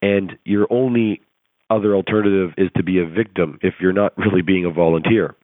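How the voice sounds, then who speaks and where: A hoarse man recovering from laryngitis, giving an earnest, rousing motivational coaching talk with rhetorical questions over a conference call. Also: deep voice, fairly even intonation, brisk, no room sound. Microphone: telephone conference call. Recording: tinny phone line, dry.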